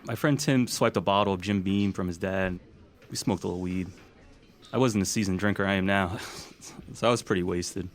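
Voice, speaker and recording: Low-voiced, easygoing young man, played by an actor. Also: natural voice. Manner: casual, plain-spoken. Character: easygoing young man confiding past events. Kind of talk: naturalistic audio drama dialogue.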